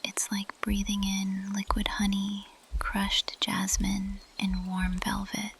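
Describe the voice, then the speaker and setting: A woman's voice: mid-range voice, monotone, fairly bright tone, a little hiss or hum, poor audio. Whispering, seductive plant monster woman acted by a woman. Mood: seductive, possessive. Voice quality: breathy whisper. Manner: slow, teasing, menacing purr. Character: seductive, possessive female carnivorous plant monster, ancient predator. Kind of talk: ASMR roleplay monologue. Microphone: close microphone.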